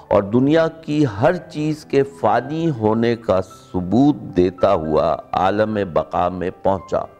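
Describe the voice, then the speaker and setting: A middle-aged man with a Pakistani accent speaks in a deep register at a slow, deliberate pace. He presents formally, with the measured phrasing of an orator, over faint music. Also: darkish tone. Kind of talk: introduction and formal recitation of a written speech.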